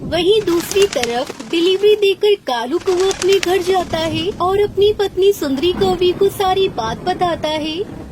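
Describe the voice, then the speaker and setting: A woman narrates a children's moral tale from a script. Her voice is extremely high, and there is clear noise behind it. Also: measured pace.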